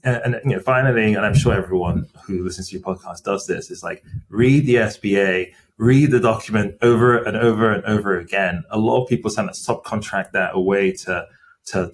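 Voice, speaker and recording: A middle-aged man, a company finance chief, answering interview questions in a measured, explanatory way with frequent fillers. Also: sits low. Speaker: company CFO as podcast guest. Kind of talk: interview conversation.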